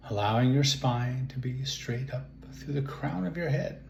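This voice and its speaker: A man leading a guided relaxation meditation, speaking in calm, soothing, measured phrases with long pauses. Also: medium pitch, creaky. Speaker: guided imagery and relaxation instructor. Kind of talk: guided meditation, slow spoken instructions. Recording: roomy echo, faint background noise.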